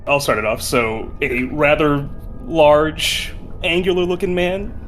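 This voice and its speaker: A man's voice: fairly high pitch, fairly even intonation, slow, fairly bright tone, faint music behind. A man playing a tabletop game, chatting casually and jokingly as he describes his character.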